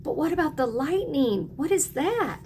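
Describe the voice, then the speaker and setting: A woman's voice: sits high, very animated, moderate pace, background noise, voice pushed up. A woman voicing a timid, polite young boy turtle with a hesitant manner in a children's storybook read-aloud. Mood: anxious curiosity. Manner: mumbling, hesitant.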